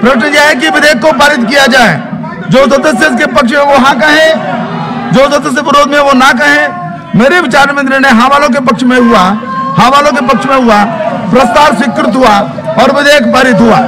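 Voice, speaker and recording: The man in the chair of a rowdy legislature, calling out formulaic votes high above a roaring house. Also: fairly even intonation, low-quality recording. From India. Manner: formulaic, repetitive, drawn-out calls. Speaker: chair of parliament session. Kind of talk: formal procedural reading. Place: large indoor legislative chamber. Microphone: desk microphone. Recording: loud shouting crowd in chamber.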